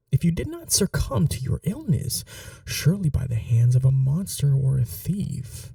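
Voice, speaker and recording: Guy acting as evil-ish young prince, asmr roleplay, gravelly low-lit voice, formal n measured, pitch moves around a lot. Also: dry recording, studio quality, far from the mic, full character voice. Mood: hushed, conspiratorial. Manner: formal, measured, hushed, imperious. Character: scheming young prince, commanding yet tender to his bride. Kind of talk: dramatic ASMR audio roleplay.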